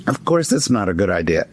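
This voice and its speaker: A man's voice: medium pitch, slight background noise. A man, a columnist guest in an interview, giving emphatic, wry political commentary with frequent fillers and stumbles.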